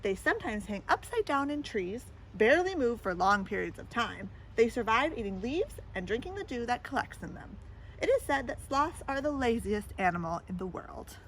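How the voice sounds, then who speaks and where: Female storybook reader, higher-pitched and very expressive, chatting to kids between lines; some background noise. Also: moderate pace, low-quality recording. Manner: animated, addresses young listeners with questions and comments. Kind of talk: read-aloud children's picture book with asides to listeners.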